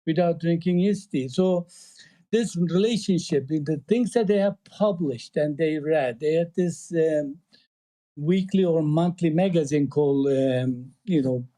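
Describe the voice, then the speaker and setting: A man with a Turkish accent, his voice mellow and a touch higher than most men's. He talks in a halting, casual way, full of fillers and restarts. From Eastern Turkey. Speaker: panelist. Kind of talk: casual discussion. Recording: online audio call.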